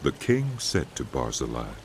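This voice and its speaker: Very deep-voiced male narrator. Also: huge pitch swings, normal speed, a little mellow, slight background noise. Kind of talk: scripted dramatized Bible narration.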